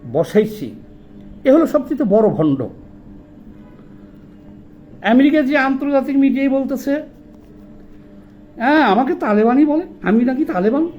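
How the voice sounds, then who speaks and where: High-voiced Bangladeshi man, indignant political commentary. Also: lo-fi sound. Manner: indignant, emphatic, rhetorical questioning. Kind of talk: impassioned political monologue.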